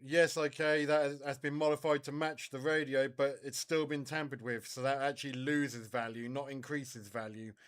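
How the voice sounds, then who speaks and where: An English man chatting casually as a CB radio operator, halting and repeating his words. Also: medium pitch, fairly even intonation, a bit bright. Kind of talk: casual radio chat between hobbyists.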